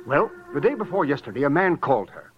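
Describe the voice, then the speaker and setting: An actor playing an earnest, self-important rich fiancé, polite and precise, in a 1940s-style radio drama. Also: male voice, on the high side, big pitch swings, quick pace, soft background music. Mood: anxious, earnest. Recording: dull, faintly noisy old radio recording.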